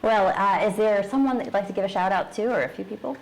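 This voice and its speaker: A woman's voice: medium pitch, fast talker, creaky, low-quality recording. A woman hosting a casual interview in an informal, affirming and playful way. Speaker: podcast host.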